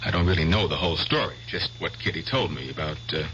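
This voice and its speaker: An actor playing an earnest, polite doctor in a radio drama, speaking formally with careful hesitations. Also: male voice, extremely low pitch, quick pace, sharp tone. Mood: calm, curious. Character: earnest, probing male doctor, polite and measured. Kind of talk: 1970s radio drama acting. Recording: dull, faintly noisy old radio recording.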